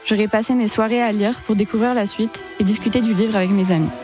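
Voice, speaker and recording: Teen girl reading a radio segment, quick pace, clear music bed behind her. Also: medium pitch, steady tone. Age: teens. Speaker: young radio columnist. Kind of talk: scripted read segment.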